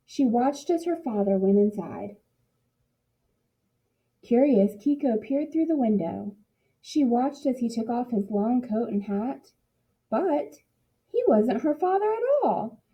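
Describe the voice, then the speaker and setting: A woman narrating a picture book for children in an expressive read-aloud style, like a teacher in class. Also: fairly high pitch, a little slow, muffled recording.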